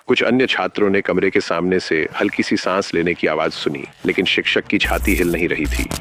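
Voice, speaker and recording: A man with a very low voice narrates a scripted horror piece styled as an official safety bulletin. His delivery is formal and measured, like an announcer. A noticeable ambient rumble sits behind the voice. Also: fairly bright tone.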